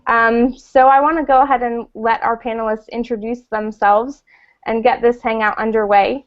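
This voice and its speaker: A woman with a fairly high voice moderates a live online discussion, moving things along briskly. Her audio comes through a muffled video call line. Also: steady tone. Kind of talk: hosting a live online hangout.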